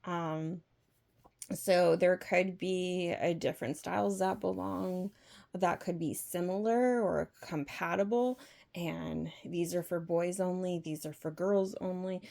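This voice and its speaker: A woman speaking off the cuff in an opinion vlog, halting and rambling, often posing rhetorical questions. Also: on the low side, fairly even intonation, vocal fry, darkish tone. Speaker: vlog host. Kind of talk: unscripted opinion monologue.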